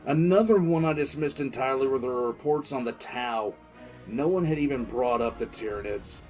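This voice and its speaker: A man playing a war-weary military commissar, recounting a crisis in halting, emphatic audio drama narration. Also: medium pitch, a little mellow, close to an everyday voice. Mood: tense, focused. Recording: music under the voice.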